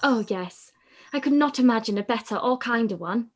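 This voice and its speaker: A woman playing a shy, earnest young gentlewoman in a period radio play, speaking politely and modestly. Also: a little high, animated, normal speed, soft background music. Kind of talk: live-read period radio play acting.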